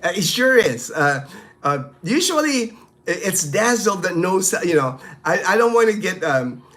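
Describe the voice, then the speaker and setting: Brief: middle-aged Filipino man, native Tagalog speaker, slightly high voice, loose rambling live-stream host talk. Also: big pitch swings, measured pace, fairly bright tone. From Philippines. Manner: rambling, friendly, many fillers and restarts. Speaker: live stream host answering viewer questions. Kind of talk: casual live-stream chat.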